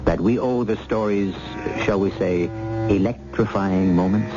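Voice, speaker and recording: A man hosting a radio drama with scripted narration, measured, theatrical and portentous. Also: low voice, heavy background noise. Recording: dull old radio recording. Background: music.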